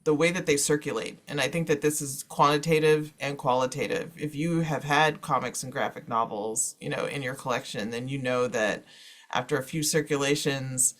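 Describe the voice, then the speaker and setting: A man's voice: mid-range voice, fairly even intonation, moderate pace, fairly bright tone. A man moderating an informal panel presentation, talking in a discursive way peppered with "you know".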